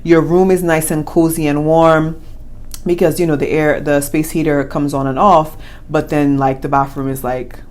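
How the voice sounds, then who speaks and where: A young woman with a notably low speaking voice talks in a casual, animated way. She leans into her points with playful emphasis, co-hosting a relaxed chat on saving money. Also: moderate pace. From born in the US, family from the Caribbean. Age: young adult. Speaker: co-host of a personal finance channel.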